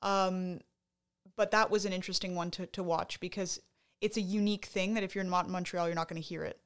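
A woman's voice: lowish voice, steady tone, a little fast, smooth. Young canadian woman co-hosting a podcast, rambly storyteller, lots of ums. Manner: chatty, storytelling with many fillers and restarts. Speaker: podcast co-host. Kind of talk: casual chat.

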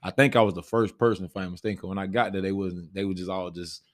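A deep-voiced American man, a stage actor, talks casually about his experiences. He speaks in slangy, conversational style, often checking that the listener follows. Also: steady tone, fast. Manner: slangy, often says "you know what I'm saying".

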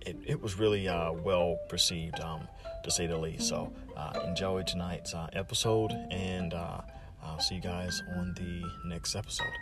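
A man hosting a podcast, giving a casual, unscripted introduction in a halting, hesitant way. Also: deep voice, steady tone, loud music behind the voice. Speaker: podcast host.

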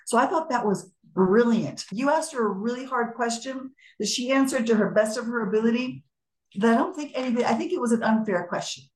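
A woman with a fairly high voice chats as a podcast co-host. She tells things in an animated, casual way, slipping into quoted remarks. The recording has a clearly echoey, roomy sound. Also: fairly quick. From Colombian American, United States. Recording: echoey room.

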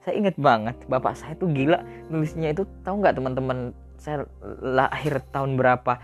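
A man's voice: medium pitch, a little fast, soft background music. Chatty young man telling his life story. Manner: chatty, many fillers, mixes in English words. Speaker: podcast host. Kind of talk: casual motivational storytelling monologue. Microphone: audio podcast recording.

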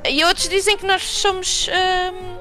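High-voiced young Portuguese woman over music. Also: little pitch movement, measured pace. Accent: European Portuguese. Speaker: interview guest. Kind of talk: casual conversational anecdotes.

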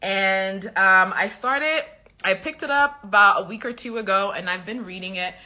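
Chatty woman from liberia hosting a live stream, bright voice, lots of ums, talks right to the viewers. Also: mid-range voice, normal speed. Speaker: podcast host and coach. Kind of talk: casual live-stream chat.

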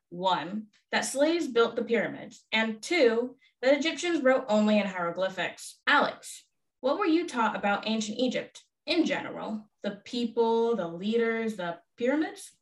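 A woman's voice: on the high side, far from the mic. A young woman hosting a history podcast, reading her scripted lines with enthusiasm. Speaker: podcast host. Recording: echoey room, voice off-mic.

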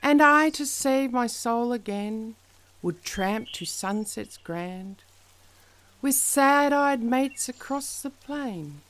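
A woman with a fairly high voice reads verse very slowly. Her delivery is measured and dramatic, each phrase placed deliberately, with endings that drop away. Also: animated. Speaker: poetry reader. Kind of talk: slow, measured dramatic poetry recitation.

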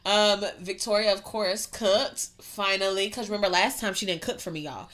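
A woman's voice: mid-range voice, fairly even intonation, normal speed, a bit bright. A young woman podcast co-host chatting casually and swearing freely, with lots of "um" and "like", while getting over a cold. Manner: chatty, profane, many fillers like "um" and "like". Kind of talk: casual chat.

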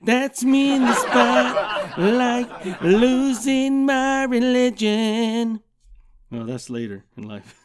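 A middle-aged man hosting a podcast, telling a funny childhood story in a loud, profane, animated way and laughing often. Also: very high voice, drawn-out words, heavy background noise. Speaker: podcast host. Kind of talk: casual comedic storytelling.

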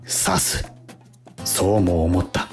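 A man giving a measured, earnest dramatic reading of a literary letter. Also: sits low, big pitch swings, creaky, faint music behind. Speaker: radio host reading literature aloud.

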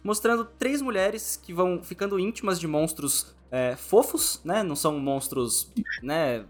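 A man's voice: sits high, lively intonation, unhurried, faint music behind. A Brazilian man speaking as a podcast host in a casual, conversational interview style.

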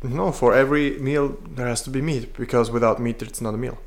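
A man in his twenties from Serbia, raised in Vienna, answering calmly as a guest. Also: medium pitch, brisk. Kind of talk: casual interview chat.

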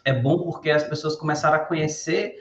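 A man's voice: on the high side, fairly quick, echoey room. A man from Ceará, Brazil, a guest on a podcast, chatting casually about his work and often repeating phrases as he goes. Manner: relaxed, repeats phrases as he talks. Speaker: podcast guest, biomedical course coordinator.

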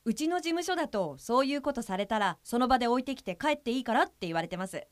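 Actress as a polite, frank woman, higher-pitched. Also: animated, no room sound, natural voice. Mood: matter-of-fact, firm. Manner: polite, measured, matter-of-fact with firm edge. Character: polite, frank woman who guides blind people. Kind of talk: audio drama acting.

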